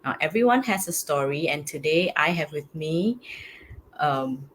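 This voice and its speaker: A woman's voice: low-pitched, lively intonation, unhurried, fairly bright tone, a little hiss or hum. A woman hosting a live stream interview, upbeat and full of gushing thanks and reactions. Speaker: host.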